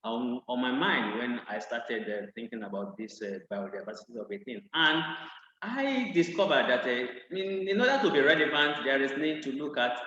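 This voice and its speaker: A man introducing his research on a video call, earnest and halting with frequent pauses. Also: mid-range voice, animated, sharp tone. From Nigeria. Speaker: panelist, research scientist. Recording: echoey, dull video-call audio.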